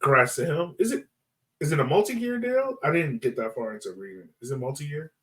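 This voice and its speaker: A man's voice: fairly high pitch, animated, brisk, a bit bright. Casual man hosting a sports podcast. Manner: informal, conversational, thinks aloud.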